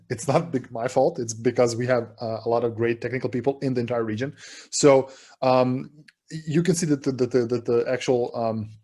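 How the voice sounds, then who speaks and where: A man from Serbia talks over a video call in an easygoing, self-deprecating way, like a speaker who jokes about his own setup. His voice sits at an ordinary middle pitch. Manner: relaxed, chatty, self-deprecating. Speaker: conference speaker. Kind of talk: online conference talk.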